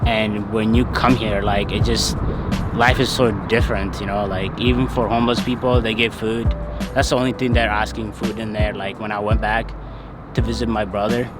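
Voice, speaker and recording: A man's voice: on the low side, flat delivery, normal speed, over loud music. A young man from Ethiopia telling his own story in a loose, hesitant way, full of fillers. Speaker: interviewee. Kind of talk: casual personal storytelling.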